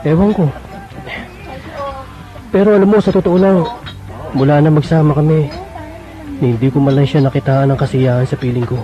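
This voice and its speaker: A man's voice: fairly high pitch, fairly even intonation, moderate pace, long pauses, close to an everyday voice. A man acting a lovelorn, long-suffering husband in a Filipino radio drama, plaintive and hesitant in delivery. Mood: hurt, frustrated. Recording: dull lo-fi radio recording with music.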